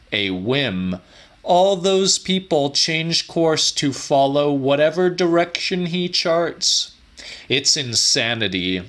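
A man's voice: medium pitch, a bit bright. A man voicing an embittered, arrogant middle-aged office worker, scornful and unhinged, in a theatrical audiobook reading.

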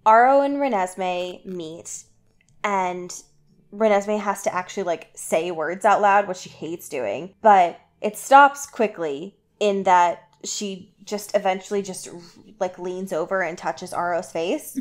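A woman's voice: mid-range voice, a little slow. A woman podcast host chatting casually and retelling a story in slangy language, acting out reactions as she goes. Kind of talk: casual, slangy chat recapping a book.

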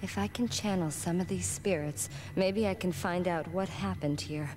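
An actress playing a defiant young female spirit medium in a video game cutscene, speaking in halting, breathless phrases. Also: lowish voice, close to an everyday voice. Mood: solemn. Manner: slow, halting, breathless delivery. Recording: noisy old game cutscene audio.

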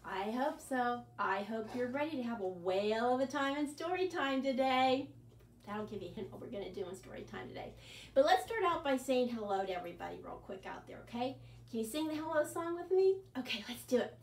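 A woman in her sixties, a children's librarian leading an animated, sing-song storytime from an armchair in a living room. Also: fairly high pitch, a little fast, a little hiss or hum. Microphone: camera at a distance. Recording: echoey room, far from the mic.

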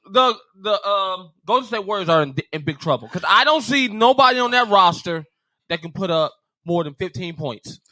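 A man's voice: high-pitched, expressive, normal speed, pushed and bright, no room sound, rough recording. A man co-hosting a sports podcast who lays out his reasoning step by step in a casual debate. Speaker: podcast co-host.

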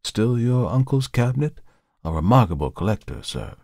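A man's voice: on the low side, lively intonation, normal speed, gravelly, a little mellow, no echo, voice pushed up. A man voicing a suspicious, sneering little antiques dealer in an audiobook, with a dry, biting, mock-polite delivery. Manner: sly chuckling, mock-polite. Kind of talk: audiobook character reading.

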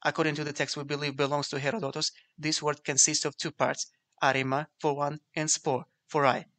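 A man whose first language is Ukrainian, narrating a scripted lecture in a measured, explanatory way. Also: on the high side, little pitch movement, pushed and bright. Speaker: video essay narrator.

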